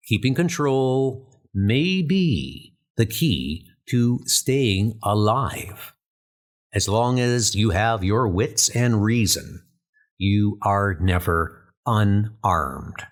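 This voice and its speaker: A man playing a composed senior British officer instructing spy recruits in a comic dub, formal and authoritative, with music behind. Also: on the low side. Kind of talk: comic dub dramatic acting.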